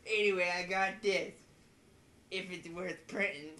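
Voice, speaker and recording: A man's voice: high-pitched, steady tone, measured pace, bright, forceful tone. A man voicing a naive, eager young female small-town gossip reporter in an amateur comedy radio play, talking in a folksy, ungrammatical way. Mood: gushing. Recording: noisy home podcast recording.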